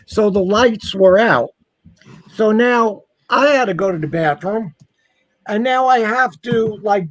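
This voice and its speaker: Guy with a pretty high voice telling a story casually, acts out the dialogue, dry close sound. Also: no room sound, low-quality recording. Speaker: guest telling a personal ghost story.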